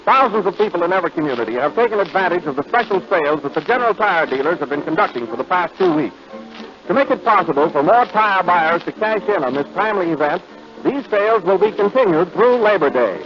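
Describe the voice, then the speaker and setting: A man announcing and trading banter on a 1930s radio comedy show, with a booming announcer delivery. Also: animated, fast, music in the background. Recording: dull lo-fi old radio recording.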